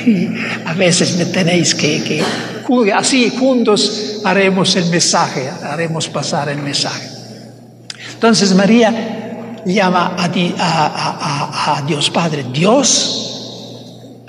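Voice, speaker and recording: A man preaching a sermon in Spanish, which is not his first language, speaking haltingly and searching for words. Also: high voice, fairly bright tone, in a large hall.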